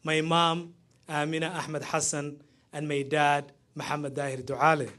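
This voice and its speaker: A man in his thirties from Somalia, speaking with a Somali accent as he gives a prepared, heartfelt graduation speech, pausing between phrases. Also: fairly high pitch, level tone, noisy background. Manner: measured, heartfelt delivery with pauses between phrases.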